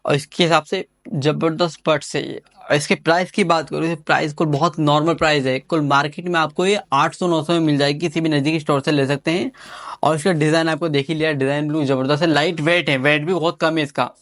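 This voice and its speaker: A man's voice: a little high, steady tone, fast, fairly bright tone, no room sound. A man giving casual, informal unboxing commentary as an enthusiastic gadget reviewer, often repeating his phrases.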